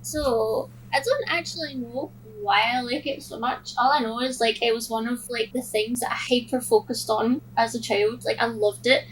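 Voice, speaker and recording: A woman co-hosting a podcast in casual chat, telling things in an expressive, giggly way. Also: on the high side, moderate pace, hardly any pauses, a little hiss or hum, poor audio. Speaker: podcast co-host.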